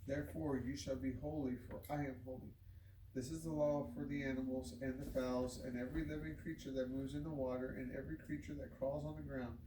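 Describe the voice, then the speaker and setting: Man reading scripture, flat and roomy. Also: mid-range voice. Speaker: scripture reader. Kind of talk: scripted Bible reading aloud. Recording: roomy, distant microphone, noisy background.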